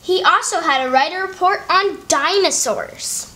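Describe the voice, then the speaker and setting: Children's storybook host, female, notably high voice, read-aloud narration. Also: unhurried, pushed and bright.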